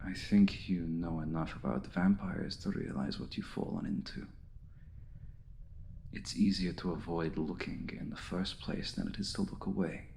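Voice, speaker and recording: A man playing an ancient, seductive and menacing vampire lord in an ASMR roleplay, speaking in a deliberate, suave, condescending and hypnotic manner, often sinking to a hushed murmur. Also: low voice, vocal fry, slight background noise. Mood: seductive, commanding. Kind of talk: ASMR roleplay, intimate dramatic monologue.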